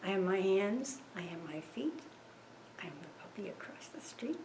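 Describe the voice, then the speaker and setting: A woman reading a rhyming children's picture book aloud in a measured, rhythmic way. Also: medium pitch, animated, darkish tone, roomy sound, a little hiss or hum. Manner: slow, measured, rhythmic delivery. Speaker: story reader at a church service.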